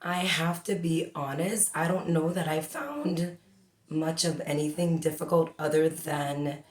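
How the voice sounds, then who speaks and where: Woman, forties, from the Bahamas, low voice, talkative interview guest, echoey room. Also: measured pace. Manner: chatty, talks a lot with many fillers. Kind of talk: casual interview.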